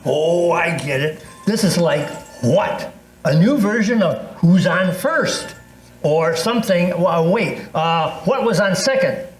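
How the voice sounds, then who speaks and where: A man's voice: sits high, expressive, slow pace, faint music behind. An older man playing a skeptical, wisecracking older friend with a folksy manner in an amateur readers theatre piece. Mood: bemused. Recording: roomy echo with faint noise.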